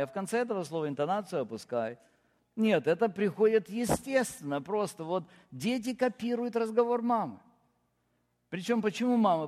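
A man's voice: high-pitched, darkish tone. Man preaching a sermon in a reverberant hall. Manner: emphatic preaching with rising stresses and enumerated points. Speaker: pastor.

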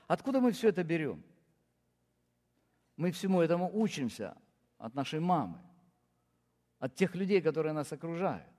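A man's voice: fairly high pitch, big pitch swings, moderate pace, pauses often, a little mellow. Man preaching a sermon in a reverberant hall. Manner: emphatic preaching with rising stresses and enumerated points. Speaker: pastor.

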